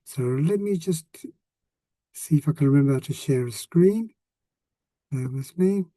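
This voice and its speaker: A man in his sixties with a South African accent, a retired language teacher talking casually to former students, often pausing with ums and restarting sentences. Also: fairly high pitch, expressive, dark tone, dry recording. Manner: hesitant, many ums and restarts.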